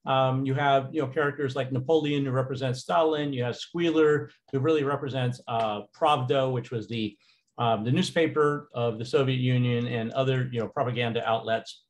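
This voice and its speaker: Man, teacher voice over slides, relaxed and casual, many fillers. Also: mid-range voice, moderate pace. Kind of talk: recorded slideshow lecture.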